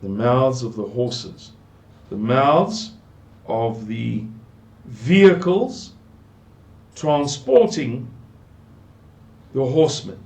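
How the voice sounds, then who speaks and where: An older South African man teaches from scripture at a very slow pace. His voice sits in a middling register, and he muses aloud, circling back and repeating words for emphasis. The sound has a roomy echo. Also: mid-range voice, a little mellow. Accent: South African English. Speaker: Bible teacher. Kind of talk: unhurried scripture commentary. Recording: echoey room.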